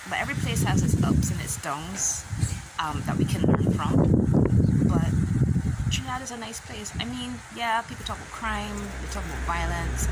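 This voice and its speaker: A young woman with a Barbadian accent chatting casually to a phone camera outdoors, relaxed and rambling, with many pauses and fillers. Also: mid-range voice. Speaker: vlogger. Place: outdoors in a rural yard. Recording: loud outdoor noise behind.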